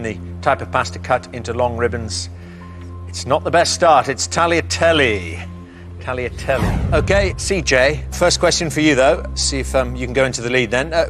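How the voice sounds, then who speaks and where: Quiz show host, male, mid-pitched, friendly banter, measured question reading, faint studio music behind. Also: fairly bright tone. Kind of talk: game show hosting.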